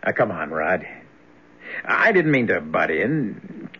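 An actor playing a casually goading brother who feigns innocence in a 1970s radio drama. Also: male voice, deep voice, very animated, takes it slowly, sharp tone, slightly rough, heavily acted. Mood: casually probing. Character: smooth, needling brother feigning innocence at home. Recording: muffled old radio drama broadcast.

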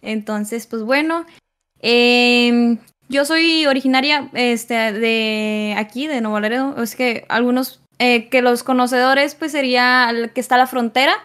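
A woman's voice: fairly high pitch, fairly even intonation, a little slow, a bit bright, smooth. Hesitant young woman guest. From Mexico. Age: 20s. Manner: hesitant, many fillers and restarts. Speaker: content creator guest. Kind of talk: casual interview answers.

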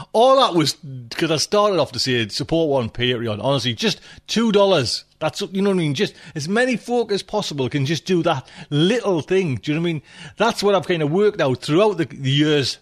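A man's voice: on the high side, expressive, normal speed. A man hosting a podcast from home, chatting casually and rambling with many asides and fillers. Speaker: podcast host.

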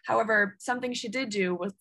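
A woman's voice: mid-range voice, fast. A woman interviewer talking casually and animatedly, often saying "like" as she works through her points. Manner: animated, full of "like" fillers.

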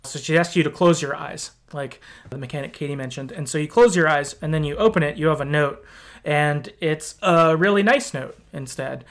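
Man, slightly high voice, casual podcast talk, conversational, hedging, story recap. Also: normal speed, fairly bright tone. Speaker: podcast host.

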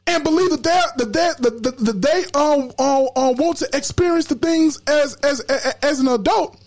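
A woman's voice: high-pitched, moderate pace, darkish tone. A woman hosting a podcast in casual, colloquial talk, emphatic and preachy, repeating words when fired up. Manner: colloquial slang. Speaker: podcast host.

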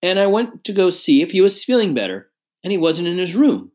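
A reader putting on a shrill, haughty, frantic mother. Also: sing-song, a little fast. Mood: anxious, distraught. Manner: imperious, agitated, sharp. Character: haughty, frantic, shouting upper-class mother.

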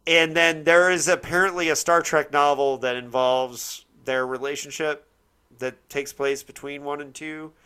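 A man's voice: fairly high pitch, fairly even intonation, unhurried, bright, forceful tone, clear, resonant tone. A man hosting a casual discussion, rambling and correcting himself as he searches for words. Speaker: podcast host. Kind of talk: casual chat, TV show discussion.

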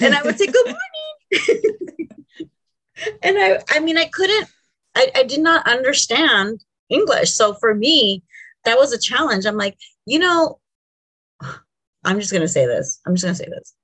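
A woman in her forties, a native Spanish speaker, co-hosting a podcast in a jokey, animated way and laughing often. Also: high-pitched, normal speed, long pauses. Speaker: podcast co-host. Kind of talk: casual chat.

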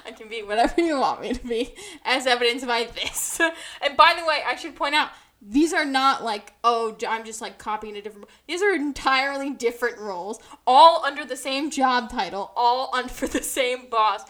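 A woman's voice: on the high side, normal speed, a bit bright. A young woman from New Jersey co-hosting a podcast in casual chat, rambling and self-mocking and laughing often as she talks. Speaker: podcast co-host.

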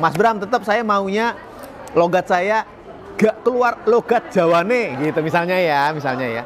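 Male speaking coach, upbeat and chatty, slightly high voice, recorded outdoors in a city with a crowd audible behind. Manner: lively, chatty, laughs at his own slips. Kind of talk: motivational talk to camera.

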